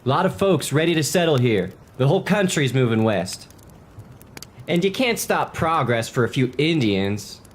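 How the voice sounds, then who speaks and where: A man's voice: mid-range voice, very animated, moderate pace, slight background noise, natural voice. A man playing a hard-nosed, by-the-book cavalry soldier in a historical drama, admonishing in a folksy, matter-of-fact way. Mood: confident.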